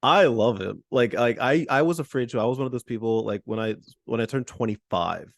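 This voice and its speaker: A man in his thirties hosting a podcast, chatting in a playful, expressive way with frequent laughs. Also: mid-range voice, brisk, creaky, no room sound, clean studio sound. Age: 30s. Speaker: podcast host. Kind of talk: casual conversational interview.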